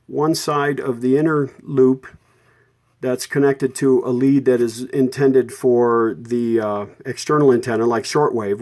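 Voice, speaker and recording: A man hosting an informal repair tutorial, explaining technical details off the cuff in a halting way and often correcting himself. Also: mid-range voice, a little mellow. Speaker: host of a vintage radio repair tutorial. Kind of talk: unscripted hands-on technical explanation.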